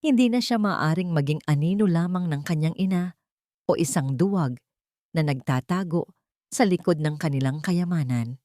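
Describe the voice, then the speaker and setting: Lower-voiced woman narrating a dramatic story. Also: moderate pace, warm tone, dry recording, studio quality.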